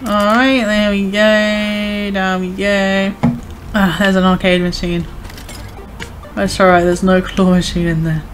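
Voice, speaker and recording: A woman chatting casually while playing a video game, her voice a little hoarse from talking too much. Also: mid-range voice, little pitch movement, takes it slowly, faint music behind. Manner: chatty, self-reassuring, talks to viewers. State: voice going hoarse from overuse. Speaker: gaming streamer. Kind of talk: casual gameplay commentary.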